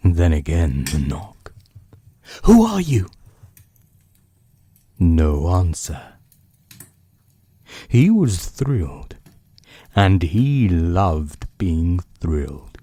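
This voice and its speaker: A man hosting a storytelling podcast, narrating from a script in bedtime-story style with dramatic pauses and savoured phrasing. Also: low voice, very animated, dark tone, husky.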